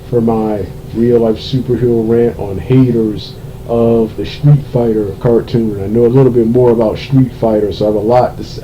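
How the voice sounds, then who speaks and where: Man rambling in a flat, muffled recording. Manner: halting, repeats and restarts phrases. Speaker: video creator giving a rant. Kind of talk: unscripted opinion rant about a cartoon. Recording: dull lo-fi mic, rumbling background noise.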